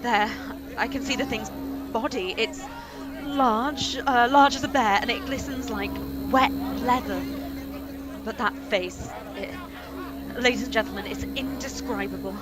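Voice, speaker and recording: A woman playing an excitable female news reporter giving halting, dramatic eyewitness commentary in a radio play. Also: on the high side, over a noisy crowd, natural voice. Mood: awed, horrified. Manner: breathless, halting, stammering with alarm. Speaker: actor in a live radio play. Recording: noisy live stream with loud ambience.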